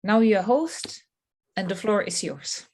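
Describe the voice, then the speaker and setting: A woman hosting an online meeting over a video call, unhurried and thinking aloud as she works. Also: medium pitch, expressive, a little mellow. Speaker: meeting host.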